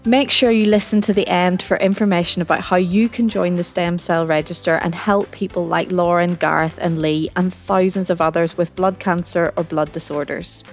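A woman presenting a podcast, reading a scripted intro in a clear, measured way. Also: fairly low pitch, fairly even intonation, faint music behind. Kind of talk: scripted narration.

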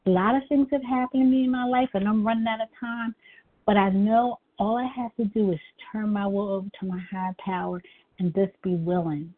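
A middle-aged woman with a high, girlish voice, telling her personal recovery story at a meeting in an earnest, halting way with many restarts. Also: fairly even intonation. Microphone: phone call. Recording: tinny phone line.

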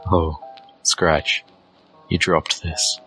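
A man playing a wounded, grieving dwarf in an audio drama, resigned and tender in a measured way. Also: a bass voice, little pitch movement, sharp tone, full character voice, low-quality recording. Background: music.

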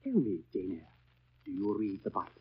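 An actor playing a pompous, sanctimonious school overseer, preaching sternly in 1930s radio drama style. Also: male voice, low voice, sing-song, brisk, slightly rough, heavily acted. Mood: shocked, preachy. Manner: pompous, preachy, exaggerated swoops. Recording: muffled vintage 1930s radio recording.

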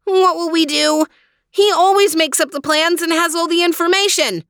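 A woman voicing a tiny, anxious and eager male dog in a storybook, in a plaintive, excitable manner. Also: a little high, moderate pace, smooth, no echo, clean studio sound, voice pushed up. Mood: worried. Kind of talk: storybook character reading.

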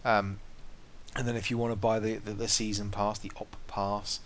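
A man's voice: on the low side, little pitch movement, normal speed. A man co-hosting a casual gaming podcast, talking in an easygoing, bantering way. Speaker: podcast co-host. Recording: dull lo-fi audio with faint hiss.

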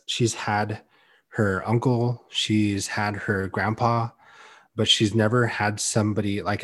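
A young man on a podcast, talking earnestly and loudly in a casual chat, with many "like"s. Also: on the low side. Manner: loud, earnest, heavy use of "like". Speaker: podcast co-host.

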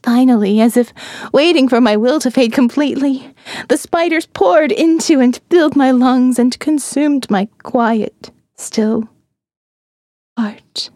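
A woman narrating a first-person horror audiobook, slow and hushed, often dropping to a whisper. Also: sits high, dry recording, studio quality.

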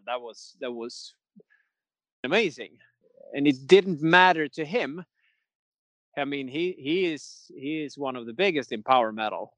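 A man's voice: mid-range voice, a bit bright, no room sound. Rambling man, dry sound. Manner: rambling, many restarts, laughs often. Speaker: metal singer, guest. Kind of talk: casual interview chat.

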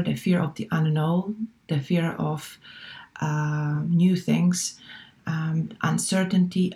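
A woman's voice: lowish voice, creaky, dark tone. A woman guest speaking English as a second language, chatting thoughtfully with many fillers and restarts. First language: not English. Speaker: guest, relocation coach. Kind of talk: casual interview chat.

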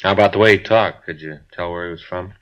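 A steady, low-key police detective who asks plain, businesslike questions in a deep voice. He comes through the dull, narrow sound of a 1950s radio broadcast. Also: fast talker. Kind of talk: 1950s radio drama acting.

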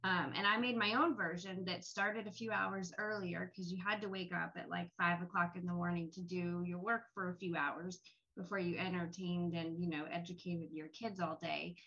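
A woman's voice: fairly low pitch, steady tone, normal speed, pushed and bright, highs cut off. A middle-aged woman speaking casually on a panel call, sharing personal experience. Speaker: panel guest, academic.

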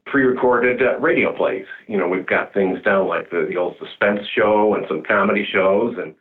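Low-voiced man on a muffled, phone-like line. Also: normal speed. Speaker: community theater director, interview guest. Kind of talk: casual interview chat. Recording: muffled narrowband phone-like audio.

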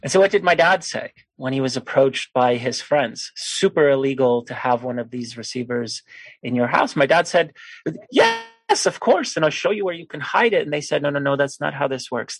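A man in his forties from Poland, a podcast guest telling a personal story in a lively conversational way and acting out the people he quotes. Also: mid-range voice, gravelly, breathy edge, no echo. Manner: animated storyteller who acts out quoted voices.

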